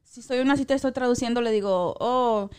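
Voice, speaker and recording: High-voiced chatty woman. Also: quick pace, clear, resonant tone. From Chicago. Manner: code-switching, animated, laughs often. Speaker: co-host, school translator. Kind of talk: casual chat.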